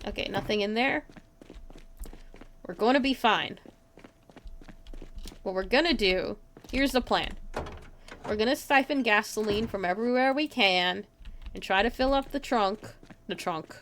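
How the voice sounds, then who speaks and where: A woman's voice: medium pitch, unhurried, pauses often, fairly bright tone, slight background noise. A woman giving casual live gameplay commentary in a playful way, talking to herself and her chat. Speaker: game streamer, host.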